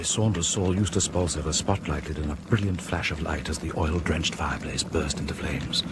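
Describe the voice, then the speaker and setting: An actor playing a grave, sinister British narrator of radio horror tales, deliberate and dropping into whispers. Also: male voice, extremely low pitch. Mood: ominous. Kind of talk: dramatic scripted horror narration. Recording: faintly noisy vintage radio broadcast.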